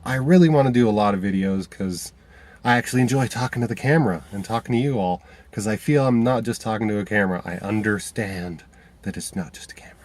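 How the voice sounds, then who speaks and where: Man with a lowish voice and a stuffed-up nose, casual rambling vlog filmed in his car. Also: a little mellow. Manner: rambling, many fillers and restarts. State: stuffy from a cold. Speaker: vlogger. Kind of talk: casual talk to camera. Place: inside a parked car.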